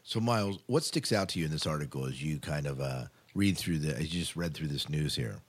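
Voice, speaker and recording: A man's voice: extremely low pitch, lively intonation, brisk, husky. A man co-hosting a podcast, giving his opinions in a talkative, conversational way. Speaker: podcast co-host. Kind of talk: casual discussion, commentary.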